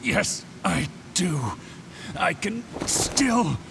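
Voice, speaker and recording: Game villain, male: tormented and obsessive, strained voice, higher-set and swinging widely in pitch. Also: animated, drawn-out words, background noise, heavily acted. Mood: furious. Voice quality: strained, gravelly. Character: anguished, obsessive male villain who refuses to stop. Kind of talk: dramatic video game acting.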